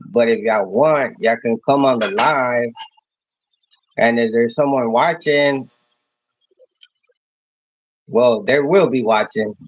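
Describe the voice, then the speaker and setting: A man in his thirties from Mexico, hosting a casual livestream and answering viewers in a rambling, halting way, restarting his phrases often. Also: mid-range voice, no echo, highs cut off. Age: 30s. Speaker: livestream host.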